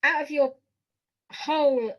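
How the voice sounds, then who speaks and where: A woman's voice: high-pitched, fairly even intonation, takes it slowly, muffled recording. A woman hosting a conversational podcast interview, affirming and encouraging her guest.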